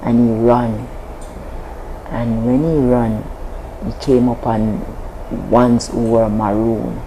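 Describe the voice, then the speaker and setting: A woman from Jamaica with a Jamaican accent, a guest telling a long story in an interview, slow and deliberate with frequent restarts. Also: rumbling low, fairly even intonation, dark tone, slight background noise, rough recording. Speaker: interview guest, Maroon leader.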